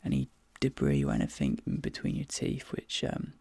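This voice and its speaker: A man softly whispering and murmuring in a soothing, reassuring ASMR dentist roleplay, with breathy delivery and hesitant pauses, close to the microphone. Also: mid-range voice, very animated, dry recording. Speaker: ASMR performer role-playing a dentist. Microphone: close microphone, dry.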